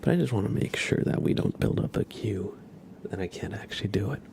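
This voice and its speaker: Low, hushed man streaming ASMR. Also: a little fast, creaky, background noise. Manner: hushed, often slipping into whispers. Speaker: ASMR livestream host. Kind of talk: casual soft-spoken ASMR stream chat.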